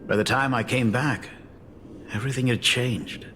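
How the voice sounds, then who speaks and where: A man voicing a doting, fretful middle-aged father and academy dean in a video game, with expressive, theatrical delivery. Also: mid-range voice, moderate pace, in a large hall, faint music behind, natural voice. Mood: regretful.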